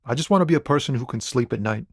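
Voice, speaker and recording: An actor playing a laid-back, philosophical truck driver and aspiring poet in a video game, talking in a musing, slangy way. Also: male voice, mid-range voice, expressive, very fast, slightly rough, dry recording, clean studio sound. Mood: troubled, pleading. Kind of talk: conversational video game character dialogue.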